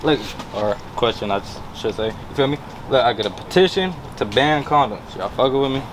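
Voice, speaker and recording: Young guy hustling strangers for a quick question, real slangy, outdoor noise. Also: mid-range voice, lively intonation. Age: young adult. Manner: slangy, pushy, repeats himself. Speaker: street prank interviewer. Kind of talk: casual street chat. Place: outdoors on a college campus. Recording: clear outdoor street noise.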